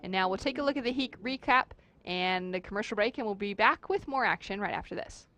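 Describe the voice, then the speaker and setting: A woman's voice: mid-range voice, brisk, sharp tone. A woman calls a surfing heat live from the commentary booth. She runs through scores and surfers in an upbeat, fluent play-by-play. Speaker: surf commentator.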